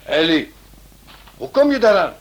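An actor playing an earnest, somewhat exasperated police inspector and husband in a radio drama, with emphatic, formal wording. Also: male voice, high-pitched, sing-song, measured pace, full character voice. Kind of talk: Dutch-language radio drama acting. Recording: noisy old radio drama recording.

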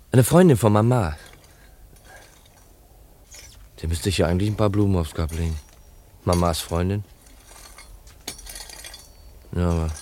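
Man playing a low-voiced, shy young loner. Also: darkish tone, lightly acted. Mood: hesitant, pensive. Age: young adult. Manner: hesitant, brooding. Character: shy, proud, lonely young orphaned male newcomer. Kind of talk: 1950s German radio drama acting. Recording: noisy vintage radio recording.

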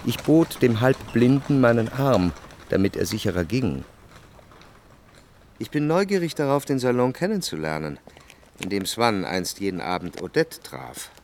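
An actor playing a refined first-person narrator in a radio drama, speaking in a measured, precise, reflective literary manner. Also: male voice, medium pitch, animated, a little mellow, a little hiss or hum, close to an everyday voice. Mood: observant. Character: reflective, refined male narrator recalling Parisian salon society. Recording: faint music behind the voice.

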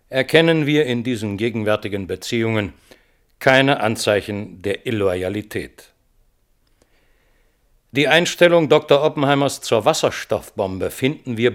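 A man's voice: mid-range voice, lightly acted. Stern hearing chairman, acted by a man. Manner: official, sober, deliberate. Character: stern, official chairman of a security hearing board. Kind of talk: formal verdict reading in German radio drama.

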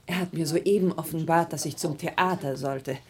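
A woman playing an excitable, stage-struck society lady in a radio play, gushing and polite. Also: low voice, normal speed, lightly acted. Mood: excited, pleading.

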